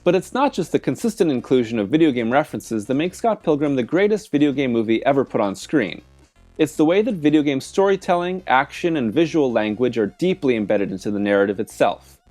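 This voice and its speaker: Male video essay narrator, mid-pitched and animated in intonation, scripted read over a music bed. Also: brisk. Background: background music.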